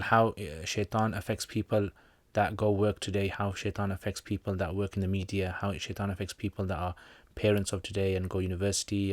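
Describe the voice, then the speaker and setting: Brief: male livestream host and teacher, low-pitched, dry close sound, jokey and rambling. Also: no echo. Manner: jokey, rambling, with slangy asides. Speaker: Islamic teacher hosting a livestream Q&A. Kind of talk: casual livestream chat, answering viewer comments.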